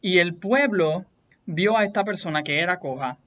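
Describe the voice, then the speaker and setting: A man's voice: high voice, moderate pace, pushed and bright. Puerto Rican man teaching, dull recording. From Puerto Rico. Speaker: Bible teacher hosting a video series. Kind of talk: explanatory Bible teaching.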